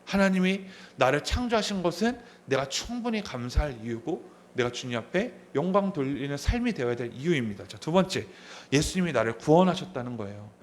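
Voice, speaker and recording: A man's voice: on the high side, very animated, brisk, few pauses. Korean pastor in his thirties preaching. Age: 30s. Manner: asks the congregation questions, repeats key phrases. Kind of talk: sermon. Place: church sanctuary.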